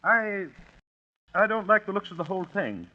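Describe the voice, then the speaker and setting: A man's voice: sits high, big pitch swings, normal speed. An actor playing a nervous, suspicious male dinner guest in an old radio drama, querulous and hesitant. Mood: suspicious, anxious. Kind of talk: 1940s radio drama acting. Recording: dull old radio recording.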